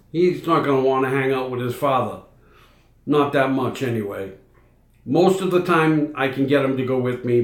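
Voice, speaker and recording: Middle-aged Boston-accented stream host, unhurried, rambling, echoey room. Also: male voice, medium pitch. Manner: rambling, many fillers and restarts. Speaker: livestream host. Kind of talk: casual live chat answering viewers.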